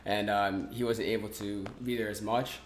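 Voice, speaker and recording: A man's voice: fairly low pitch, moderate pace, reverberant, a little hiss or hum. A man in his twenties, a podcast guest talking casually, with lots of fillers and restarts. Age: 20s. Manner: relaxed, many fillers and restarts. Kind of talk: casual interview talk.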